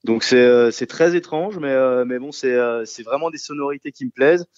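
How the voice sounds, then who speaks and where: A man's voice: mid-range voice, normal speed, a little mellow, dry recording, muffled recording. A man who sings and plays guitar in a rock trio, answering radio interview questions in a casual, hesitant way with many fillers and restarts. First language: French.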